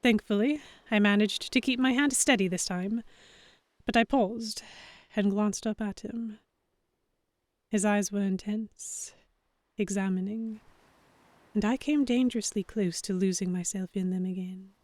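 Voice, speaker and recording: An earnest, anxious young heroine voiced by a woman tells her story in a mid-pitched voice. The delivery is measured and expressive, like a dramatic audiobook, and the sound is very dry and close. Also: no room sound, lightly acted. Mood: tense, introspective. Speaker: narrator and lead character. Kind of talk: first-person dramatic audiobook-style narration.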